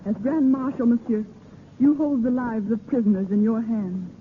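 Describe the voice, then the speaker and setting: A woman's voice: a little high, fairly even intonation, normal speed, close to an everyday voice. An actress playing a proud, earnest young French noblewoman with a formal, haughty manner in a 1930s radio drama. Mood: earnest pleading. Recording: tinny 1930s radio recording, faint noise.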